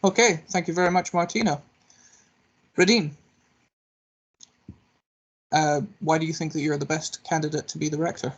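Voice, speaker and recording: A man moderating a candidate question session over a video call, polite and a little halting as he hands over to each speaker. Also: fairly high pitch, fairly even intonation, low-quality recording. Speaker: debate moderator. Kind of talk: hosting a candidate Q&A.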